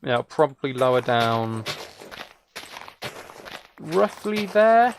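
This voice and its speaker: A man with a creaky, mid-pitched voice talks casually through his gameplay. He rambles as he thinks aloud, with a playful, self-mocking streak. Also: very animated, takes it slowly, a little hiss or hum.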